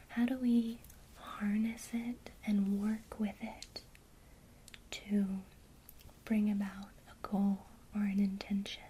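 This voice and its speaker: A woman whispers intimately in an ASMR lesson, guiding the listener through a visualization. Her delivery is slow and unhurried, with a mellow, dark softness to the whisper. A faint hiss sits under the voice. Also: mid-range voice, poor audio. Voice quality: soft unvoiced whisper. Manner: slow, soothing, intimate, addresses the listener directly. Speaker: ASMR host teaching witchcraft.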